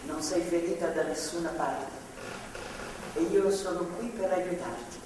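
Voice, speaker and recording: Actress as calm older woman captor, distant and echoey. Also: on the low side, natural voice. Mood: soothing. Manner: reassuring, measured short phrases. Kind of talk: stage drama acting. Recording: echoey hall, distant mic, clear noise.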